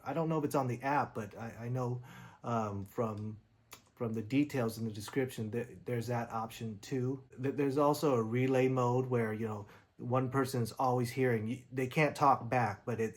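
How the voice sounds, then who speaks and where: A man reviewing gear in a casual, conversational and slightly rambling way, explaining things as he goes. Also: mid-range voice. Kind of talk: casual product demo talk.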